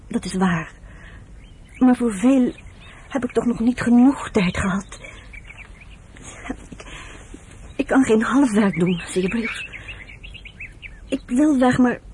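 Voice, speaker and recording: A woman's voice: medium pitch, darkish tone, slight background noise. An actress playing an earnest, conscientious woman in a Dutch radio drama, speaking in a hesitant, confiding, emotional way. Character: earnest, conscientious woman caring for camp survivors.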